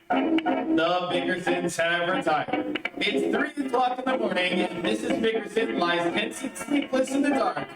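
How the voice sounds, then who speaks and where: A man reading scripted old-time radio narration as announcer, with a dramatic delivery. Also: sits high. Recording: echoey, distant streamed audio with music.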